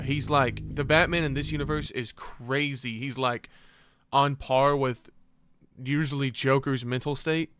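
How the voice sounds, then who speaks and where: A man's voice: medium pitch, a bit bright, clear, resonant tone, no room sound. A man giving casual, slangy reaction commentary, thinking aloud, exclaiming and reading text off a screen. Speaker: reaction video host. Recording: music playing behind the voice.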